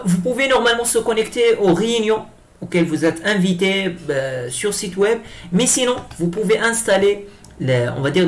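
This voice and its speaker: A man giving an improvised software tutorial, speaking hesitantly and often repeating himself as he explains each step. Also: a little high. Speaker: tutorial presenter. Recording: clear background noise.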